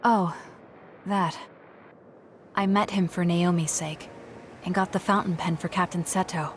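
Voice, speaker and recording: A woman's voice: on the low side, steady tone, measured pace, slight background noise, lightly acted. An actress playing a stoic, blunt young woman fighter in a video game, speaking in a matter-of-fact, terse way. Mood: cool, reserved. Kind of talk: English video game voice acting.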